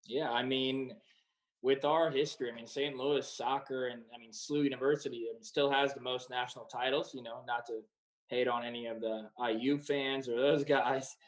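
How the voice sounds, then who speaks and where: A man in his twenties, a professional soccer player who grew up in Croatia and the United States, answering in a relaxed way with many fillers. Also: mid-range voice, normal speed, poor audio. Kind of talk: casual interview answers.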